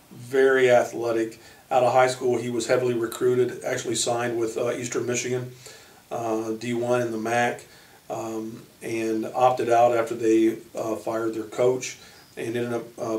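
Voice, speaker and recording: An American man speaking as a basketball coach, informally introducing his team in halting short phrases. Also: mid-range voice, darkish tone. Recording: echoey room, distant microphone.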